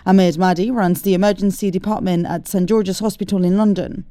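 Newsreader woman with a mellow tone. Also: medium pitch, fairly quick. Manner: steady, clear news delivery. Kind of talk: scripted news bulletin. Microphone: studio mic.

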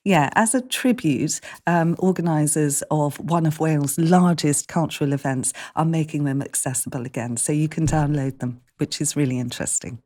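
A woman news journalist on the radio with a low-pitched voice. She talks through stories conversationally, chatting and explaining as she goes. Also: moderate pace, few pauses, warm tone. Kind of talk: conversational news briefing with presenter.

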